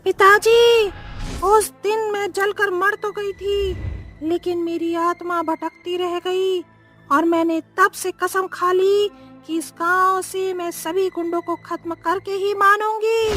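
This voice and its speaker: A woman playing a vengeful witch, the ghost of a policewoman, in an animated horror story, speaking with slow menace. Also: very high voice, heavily acted. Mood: vengeful resolve. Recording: music behind the voice.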